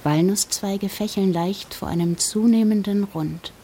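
An actress playing a contemplative, sceptical woman gazing at the moon in a literary radio monologue, speaking in a measured, musing way. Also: medium pitch, dark tone, a little hiss or hum, close to an everyday voice. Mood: quietly musing.

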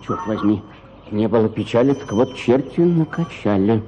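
An actor playing a bored, grumbling country landowner who complains in exclamations. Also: male voice, fairly low pitch, lively intonation, normal speed, warm tone, highs cut off, slight background noise, close to an everyday voice. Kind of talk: radio play acting.

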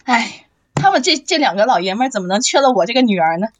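A woman's voice: a little high, sing-song, fast talker. A woman hosting a casual live voice chat in a teasing, reassuring way, full of exclamations. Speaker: host of a radio drama cast chat. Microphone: online voice chat.

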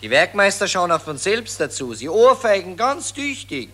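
A smug Viennese army officer. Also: male voice, high voice, big pitch swings, normal speed, bright, forceful tone, full character voice. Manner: boastful, chuckling, drawling. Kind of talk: radio play acting.

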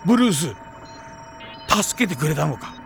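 An actor playing a kindly, worried old scientist in an audio drama, speaking in a gentle, pleading, fatherly way. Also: fairly high pitch, very animated, moderate pace, creaky, a little hiss or hum. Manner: gentle, pleading, elderly speech. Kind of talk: Japanese audio drama cartoon acting.

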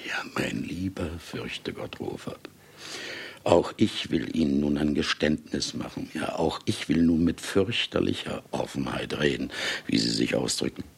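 Gravelly, swooping, urbane murderous man of letters. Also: rumbling low, expressive, exaggerated voice. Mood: solemn, confessing. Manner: urbane, condescending, theatrically deliberate. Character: celebrated male writer, smugly confessing serial murderer. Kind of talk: German radio play acting.

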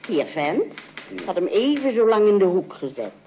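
A woman's voice: mid-range voice, very animated, moderate pace, slight background noise. Dry mother, tinny sound. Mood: sceptical. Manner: dry, practical. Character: dry, sensible, sceptical mother of the household. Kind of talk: old-fashioned radio comedy acting. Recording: very thin, phone-like old radio sound.

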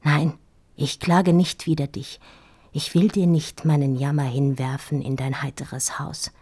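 An actress playing a heartbroken, dying woman in an intimate radio drama monologue, speaking in halting, feverish, anguished whispers with repeated words. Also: low-pitched, little pitch movement, a little mellow, slightly rough. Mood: anguished, forsaken. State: feverish, weak, grieving. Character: heartbroken dying woman writing a farewell love letter.

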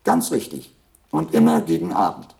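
An actor playing an obsolete household robot in a radio play, polite, formal and literal, a slow-witted servant. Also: male voice, a little mellow, roomy sound, natural voice. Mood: matter-of-fact. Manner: courteous, formal, matter-of-fact. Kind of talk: German radio play acting.

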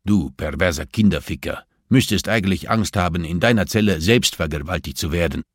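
A man voicing a blunt, contemptuous, menacing male prison inmate in a gruff, scornful way, as audiobook dialogue. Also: deep voice, brisk, no room sound.

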